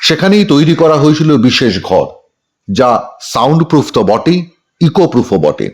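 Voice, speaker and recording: A male news reporter narrates a scripted report in a fairly high voice. His intonation swings widely, and he delivers each line with dramatic emphasis. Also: sing-song, moderate pace.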